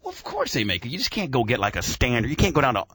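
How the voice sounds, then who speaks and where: A man's voice: medium pitch, wildly swooping pitch, racing, pushed and bright, breathy edge, dry recording, clean studio sound. A man hosting a casual radio show about auto racing, talking in a slangy, informal and opinionated way.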